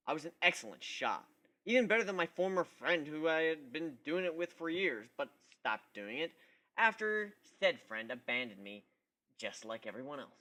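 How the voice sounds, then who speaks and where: A cocky young male griffin narrates his own tale , acted out by a man. The delivery is bright, forceful and highly animated, with emphatic, introspective storytelling in a dramatic fan fiction style. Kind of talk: dramatic first-person fan fiction reading.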